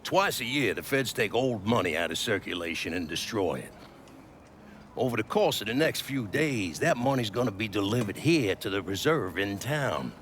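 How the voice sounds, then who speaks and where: An actor playing a gregarious but ruthless older mob boss in a video game, folksy, profane and always selling. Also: male voice, lowish voice, sing-song, normal speed, a bit bright, a little hiss or hum. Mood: confident. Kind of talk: cinematic video game dialogue. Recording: music behind the voice.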